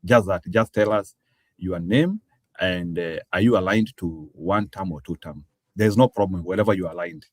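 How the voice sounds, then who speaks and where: A Kenyan man hosts an online political talk show over a video call. His voice sits very low, with a dry, close sound. He talks haltingly, with fillers and restarts. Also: dry recording. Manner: hesitant, many fillers and restarts. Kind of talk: casual talk show interviewing.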